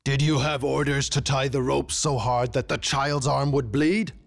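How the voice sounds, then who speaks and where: A huge tiger fae king from a fantasy storybook, acted out by a man narrator. He is commanding and indignant, firing imperious questions in a loud voice pushed higher. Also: unhurried, vocal fry. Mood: stern, wrathful. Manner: imperious, booming rhetorical questioning.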